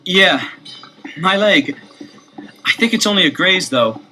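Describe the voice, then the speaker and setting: A man's voice: high-pitched, expressive, takes it slowly, very noisy. An actor playing an eager younger teenage boy detective in a 1970s audio adventure, with an emphatic theatrical delivery. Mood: pained.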